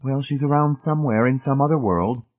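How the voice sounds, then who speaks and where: A man's voice: mid-range voice, fairly quick, narrow, tinny audio, pitched above the performer's own voice, lightly acted. A man voicing a commanding, coolly indifferent wife in a storybook reading, with a chastising manner. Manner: clear, chastising. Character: cool, commanding young wife, sharp and indifferent.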